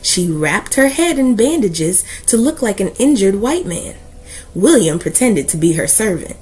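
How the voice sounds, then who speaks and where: A woman reading a children's book aloud as host, with deliberate, emphatic phrasing and an expressive storytelling delivery. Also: mid-range voice, husky.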